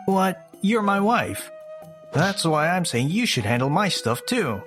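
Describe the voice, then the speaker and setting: A man's voice: high-pitched, big pitch swings, a little slow, a bit bright, soft background music, exaggerated voice. A man playing a selfish, dismissive young husband in a scripted comic dub. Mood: condescending.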